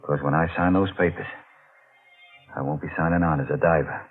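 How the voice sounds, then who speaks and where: Deep, haunted deep-sea diver. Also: male voice, steady tone, soft background music. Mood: bitter, uneasy. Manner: tense, halting, brooding. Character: brooding, fearful deep-sea diver with murderous guilt. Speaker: lead actor in a radio mystery. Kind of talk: old-time radio drama acting with narrated inner monologue. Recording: muffled, noisy old radio recording.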